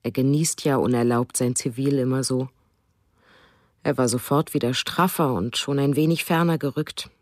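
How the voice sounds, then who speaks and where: A woman's voice: rumbling low, steady tone, moderate pace, darkish tone, dry recording, studio quality. An actress reading the diary of a wistful German writer and mother for a radio drama.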